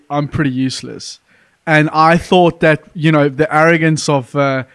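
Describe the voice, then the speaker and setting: A man's voice: on the high side, no room sound. A man hosting a podcast, asking questions in a chatty way and joking at his own expense. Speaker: podcast host. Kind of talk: casual podcast interview.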